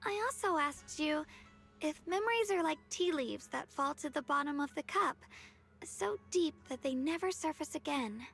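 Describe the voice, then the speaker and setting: A young anime-game swordswoman with a high voice. She is polite and considerate, with a shy, hesitant delivery that gets flustered easily. Game music plays underneath. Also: expressive, moderate pace, vocal fry, fairly bright tone, full character voice. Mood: gentle, reminiscing.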